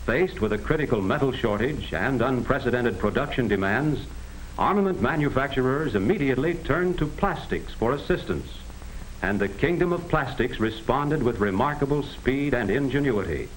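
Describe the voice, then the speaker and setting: An American man reading scripted 1940s educational film narration in a measured, explanatory manner on a vintage soundtrack. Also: medium pitch, animated, a little hiss or hum, rough recording. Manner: measured, explanatory, addressing the listener directly.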